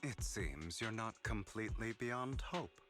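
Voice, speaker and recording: A proud, sly rebel in a dramatic video game. His low voice swoops expressively, taunting and deliberate. Music plays clearly behind him. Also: expressive. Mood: composed, smug. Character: sly, proud man, theatrical rebel with a bitter past.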